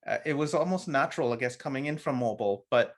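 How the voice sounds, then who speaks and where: A man hosts a podcast interview and asks questions in a relaxed, encouraging way. His voice has an ordinary mid-range pitch. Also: quick pace.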